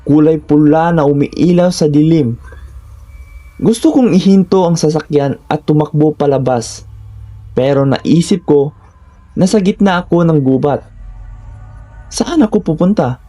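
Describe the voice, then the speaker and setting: Filipino man narrating horror, mellow voice. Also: a little high, a little slow, pauses often. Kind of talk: scripted first-person horror narration.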